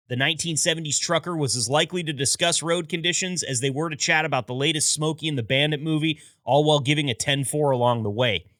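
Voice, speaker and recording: Male podcast host, scripted storyteller, crisp forceful tone, slightly high voice, close dry sound. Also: dry recording. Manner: upbeat, storytelling delivery with wry asides. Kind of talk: scripted narration.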